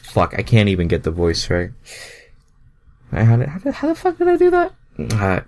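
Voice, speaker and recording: Very deep-voiced man reading, animated. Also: normal speed. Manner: crude, swearing, self-deprecating, breaks off to comment. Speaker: fan fiction reader. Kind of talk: mocking amateur story reading with asides.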